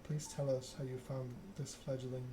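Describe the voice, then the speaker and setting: A man voicing a cold, noble female vampire ruler in a video game, speaking formally and with measured command. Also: medium pitch, level tone, close to an everyday voice. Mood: stern, formal. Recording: clear background noise.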